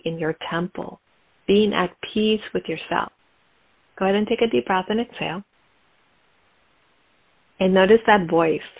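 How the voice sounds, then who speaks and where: A woman leading a guided meditation, speaking in slow, calm, repetitive prompts. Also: fairly low pitch, low-quality recording.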